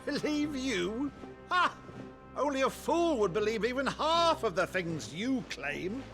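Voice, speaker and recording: An actor playing an older nobleman, a blustering but warm-hearted uncle, in a theatrical, grandiloquent video game performance. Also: extremely high pitch, very animated, a little slow, soft background music, exaggerated voice. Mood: wry, hearty. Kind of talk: dramatic video game acting.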